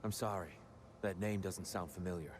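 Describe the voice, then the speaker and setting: A man playing a gentle, weary, broken old god freed from captivity in a video game, speaking wistfully and haltingly. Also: sits low, fairly even intonation, background noise, close to an everyday voice. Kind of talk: dramatic video game acting.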